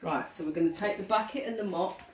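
A woman's voice: mid-range voice, fast talker. Patient English woman teacher, echoey home. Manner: patient step-by-step explaining. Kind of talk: instructional home demonstration. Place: home kitchen and hallway, indoors. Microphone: handheld phone filming. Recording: echoey room, noisy phone audio.

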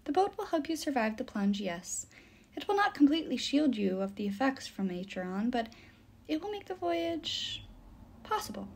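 A woman voicing an ancient river nymph in an audiobook, soft-spoken, formal and measured, with a mysterious, patient sorrow. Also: high-pitched, sing-song, gravelly, husky, pitched above the performer's own voice, heavily acted. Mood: soft, sorrowful. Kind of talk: audiobook character dialogue.

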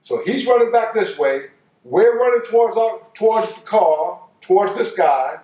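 A man's voice: high-pitched, lively intonation, normal speed, dark tone. An older American man telling a personal story casually and colloquially, repeating phrases for emphasis. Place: living room couch, indoors. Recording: roomy, distant microphone.

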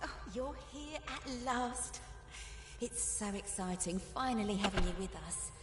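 Chirpy, creepy housekeeper in an echoey hall. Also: female voice, on the high side, lively intonation, unhurried. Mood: eager, welcoming. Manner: fussy, eager, singsong hospitality. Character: chirpy, doting female housekeeper creature, slightly creepy. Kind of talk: video game character acting. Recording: echoey hall reverb, music behind.

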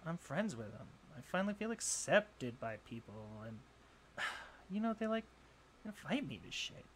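A man's voice: on the high side, sing-song, slight background noise. A young man playing a jealous, insecure college bully in a roleplay audio drama, scoffing, sighing and defensive. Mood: bitter, confiding. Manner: scoffing, sighing, swearing, halting when flustered.

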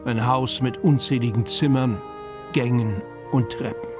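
A man's voice: mid-range voice, fairly even intonation, unhurried, close to an everyday voice. A man narrating a children's fantasy radio play as a storyteller. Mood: calm storytelling. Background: music.

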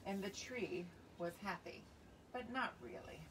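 Woman, 40s, children's author on a casual livestream, mid-pitched, recorded outdoors a little far from the mic with noticeable background noise. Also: animated, measured pace. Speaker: children's book author and reader. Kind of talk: storybook reading and casual livestream chat. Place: covered outdoor patio by trees. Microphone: phone or camera a few feet away.

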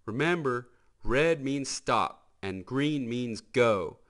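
A man's voice: medium pitch, animated, slow pace, fairly bright tone. A man voicing a friendly, folksy tow-truck deputy from a children's storybook, eager and earnest. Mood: earnest, instructive.

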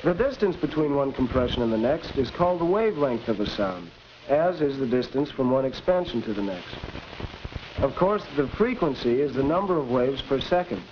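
A man's voice: medium pitch, very animated, moderate pace, muffled recording, natural voice. An actor playing a patient young American man who explains science didactically in a 1940s instructional film. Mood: explanatory. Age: young adult. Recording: noisy 1940s film soundtrack.

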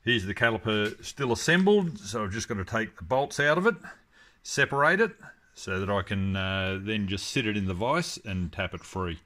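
A middle-aged man talks through a workshop job in a plain, practical way, pausing on fillers as he works. His fairly low voice has a bright, forceful edge. He speaks into a handheld phone in a home garage. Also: expressive. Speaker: home mechanic restoring a car. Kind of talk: casual how-to narration.

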